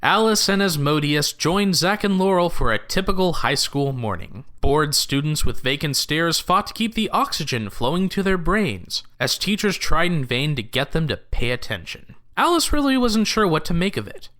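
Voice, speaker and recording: A man plays a wry storyteller for a supernatural audio drama. His scripted narration is bright and fairly high for a man, with lively rises and falls. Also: moderate pace. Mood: wry, measured.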